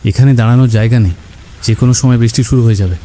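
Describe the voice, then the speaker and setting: A man playing a steady, reassuring village husband in a ghost story cartoon, with a calm manner. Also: medium pitch, racing, a little hiss or hum, natural voice.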